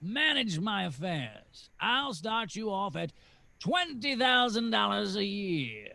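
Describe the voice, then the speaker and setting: A man playing a scheming, sneering old businessman in 1940s radio drama style, speaking deliberately and condescendingly. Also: sits high, sing-song, gravelly, bright, forceful tone, no echo, heavily acted. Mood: persuasive.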